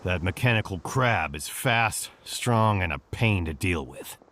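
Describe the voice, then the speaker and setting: An actor voicing a grumbling caravan guard who complains in an exasperated way. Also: male voice, sits low, expressive, measured pace, a bit bright, slight background noise. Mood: griping.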